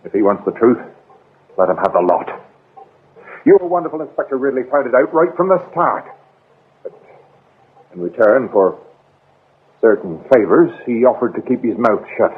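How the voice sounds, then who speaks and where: A husky, animated man as a defiant fiancé in a radio drama. He is protective and combative, emphatic and scornful toward police. The sound is narrow and tinny. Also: mid-range voice, moderate pace, long pauses. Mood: scornful, bitter. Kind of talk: British radio drama acting.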